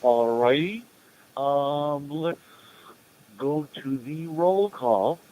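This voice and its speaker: A man acting as net control on a community radio net, giving informal announcements and roll calls in a halting, procedural way. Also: a little high, mellow, dull audio.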